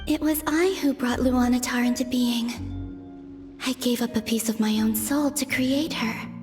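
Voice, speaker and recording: A woman voicing a gentle, pensive young moon goddess in a video game, speaking softly in measured, introspective monologue. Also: a little high, steady tone, music in the background, natural voice. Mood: solemn.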